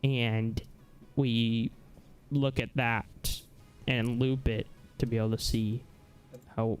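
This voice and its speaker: A man hosting a casual live software demonstration, talking haltingly as he searches for words. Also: mid-range voice, flat delivery, clean tone. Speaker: livestream host. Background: music.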